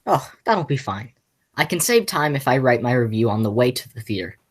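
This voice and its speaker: A teenage girl playing a flustered, exasperated man in a school radio play, stammering and halting as she speaks. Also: extremely low pitch, big pitch swings, husky, soft background music, full character voice. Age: teens. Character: flustered, exasperated male theater critic and nephew.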